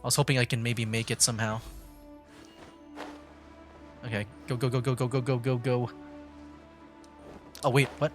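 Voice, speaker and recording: A man's voice: mid-range voice, little pitch movement, moderate pace, pauses often. A man streaming a game, giving casual live commentary, thinking aloud with mild exclamations. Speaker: streamer, VTuber gamer. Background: faint game music.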